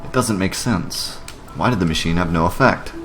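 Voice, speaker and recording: A man voicing a weary, wry professional in a story video game, speaking in a dry, deliberate manner. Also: low-pitched, close to an everyday voice. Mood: pensive, puzzled. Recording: music behind the voice.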